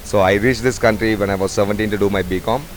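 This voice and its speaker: A man's voice: on the low side, fairly even intonation, quick pace. A man, a head bartender talking in a rambling way with many fillers. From Sri Lanka. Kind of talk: casual interview. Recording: noisy bar ambience.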